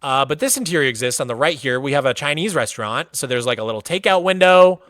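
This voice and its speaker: A man's voice: medium pitch, quick pace, dry recording. Chatty streamer guy, dry close sound. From Massachusetts, USA. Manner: relaxed and chatty, many "like" fillers. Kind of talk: casual livestream commentary, touring a game world.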